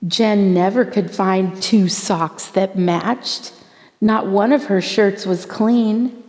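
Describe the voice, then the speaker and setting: A woman reading a rhyming children's story aloud in an expressive, theatrical way, drawing out words for emphasis. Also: mid-range voice. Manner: theatrical, deliberate phrasing with drawn-out emphasis for children. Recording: long hall-like reverb.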